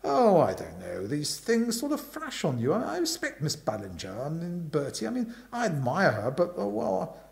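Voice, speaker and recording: A man's voice: on the high side, wildly swooping pitch, moderate pace, gravelly, few pauses, darkish tone, pitched above the performer's own voice, heavily acted. A man voicing a bashful, hesitant young upper-class chum who stammers and talks round the point. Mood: sheepish. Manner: stammering, roundabout, hemming and hawing.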